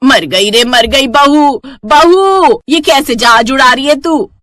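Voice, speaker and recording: Lady doing a bossy older saas for a cartoon, scolding and dramatic, highish voice. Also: animated, slow, fairly bright tone, no room sound, heavily acted. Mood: panicked. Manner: scolding, imperious, melodramatic. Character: bossy, dramatic, nagging older Punjabi mother-in-law.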